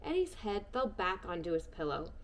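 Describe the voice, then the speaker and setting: Woman reading a kids christmas book out loud, super lively sing-songy, goes quiet then bright. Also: on the low side, normal speed, a little hiss or hum. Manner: expressive, shifting between hushed and bright. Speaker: storybook reader. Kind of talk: children's book read-aloud.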